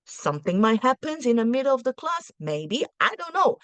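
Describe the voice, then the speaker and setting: A woman's voice: fairly high pitch, sing-song, normal speed, dry recording. Chatty woman teacher, Cantonese native. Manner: chatty, frequent restarts and rhetorical questions. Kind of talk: casual advice talk to parents.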